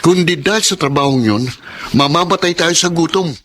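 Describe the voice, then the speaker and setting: A man's voice: fairly high pitch, normal speed, a bit bright, a little hiss or hum, natural voice. An actor playing a proud, hardworking young Filipino husband and father in a radio drama, speaking earnestly and insistently. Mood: hurt, insistent.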